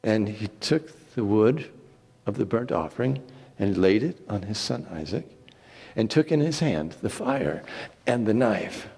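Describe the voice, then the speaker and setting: A man giving a theatrical, deliberate dramatic reading of scripture, swelling and then hushing. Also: fairly low pitch, animated, gravelly, husky. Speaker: scripture reader. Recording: long hall reverb.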